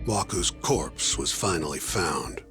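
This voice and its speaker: Skeptical partner, blunt, lively pitch, reverberant with soft music. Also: male voice, takes it slowly, full character voice. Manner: blunt, dismissive. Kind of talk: video game voice acting.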